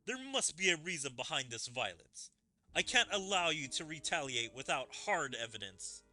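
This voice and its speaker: A man voicing a stern, measured warrior king as he reads fantasy game dialogue aloud in character. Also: a little high, big pitch swings, bright, forceful tone, exaggerated voice. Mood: commanding. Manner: formal, measured, commanding.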